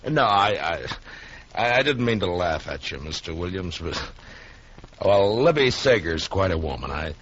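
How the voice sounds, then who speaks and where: A man playing an easygoing, patient Old West marshal in a radio western, with a husky voice and a halting, folksy, placating manner. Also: low voice, big pitch swings, fairly bright tone, exaggerated voice. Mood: amused, placating. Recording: dull lo-fi recording.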